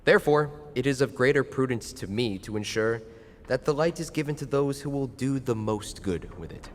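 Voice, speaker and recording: A man performing a solemn, kindly male spirit in an audio drama. His words are measured and grave, like moral instruction, and ring out in a long reverb. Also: medium pitch, expressive, a little hiss or hum, close to an everyday voice. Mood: earnest.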